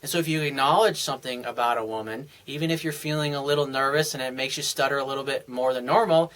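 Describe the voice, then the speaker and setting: A man's voice: mid-range voice, fast, fairly bright tone. A male dating coach giving blunt, emphatic advice in a monologue, swearing freely and often restarting his phrases. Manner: repeats and restarts phrases as he talks. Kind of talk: monologue answering a viewer's question.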